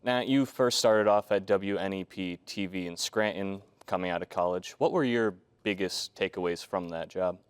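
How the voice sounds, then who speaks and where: Young low-voiced man hosting earnestly. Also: normal speed, hardly any pauses, smooth. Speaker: host, student interviewer. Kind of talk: scripted interview questions.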